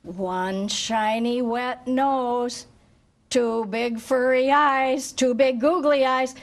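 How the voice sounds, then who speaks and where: A woman with a slightly high voice reads a picture book to young children. She takes her time, falling into an expressive sing-song chant and drawing the listeners in as a teacher would. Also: extremely slow. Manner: asks listeners questions like a teacher. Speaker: volunteer story reader for children.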